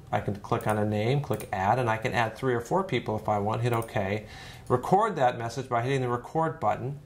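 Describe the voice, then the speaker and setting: A man narrating an informal step-by-step software tutorial in a conversational, demonstrative way, as a trainer would. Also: medium pitch.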